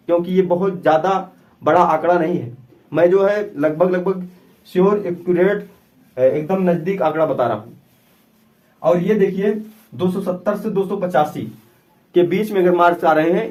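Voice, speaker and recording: A man's voice: fairly high pitch, a little mellow. A man giving an informal explanation to students as an exam-prep teacher, with a repetitive, emphatic way of advising.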